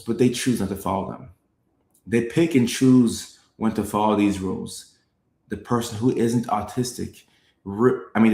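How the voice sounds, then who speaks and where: A man's voice: lowish voice, echoey room. A man who grew up in Norway, hosting a show and explaining things in casual talk, acting out quoted voices and checking in with his listeners. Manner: animated, acts out quoted voices, repeats phrases, checks in with "right?". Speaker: show host. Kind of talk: casual explanatory talk, reading chat comments.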